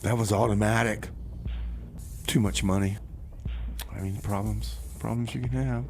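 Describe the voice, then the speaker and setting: A man's voice: lowish voice, fairly even intonation, measured pace. A man hosting a casual community radio music show, chatting in a rambling, conversational way with comic bits. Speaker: radio host. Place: radio studio.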